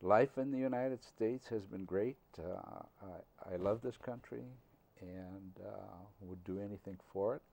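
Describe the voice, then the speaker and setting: Older guy, low gravelly voice, super slow and halting, lots of uhs. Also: darkish tone. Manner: slow, halting, with many uh fillers. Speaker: interviewee. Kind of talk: reflective interview answer.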